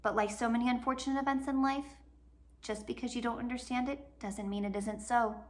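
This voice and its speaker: A woman reading a children's novel aloud as a storyteller, dramatic, with swells and hushed drops. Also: fairly high pitch, fairly even intonation, brisk. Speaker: storybook reader. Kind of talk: expressive read-aloud narration of a children's novel.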